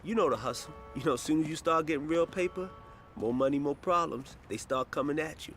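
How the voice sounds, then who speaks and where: An actor playing an earnest, worldly hip-hop hustler in a video game, preaching in a streetwise manner. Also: male voice, a little high, brisk, over music, lightly acted. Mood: earnest, preachy.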